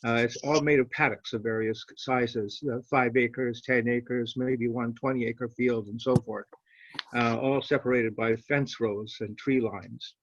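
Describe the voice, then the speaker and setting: Slow-spoken older man, dull audio. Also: medium pitch, steady tone, no echo. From Canada. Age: 70s. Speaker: poet reading own work. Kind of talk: informal introduction and measured poetry reading. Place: online video call. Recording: dull, lo-fi online call audio.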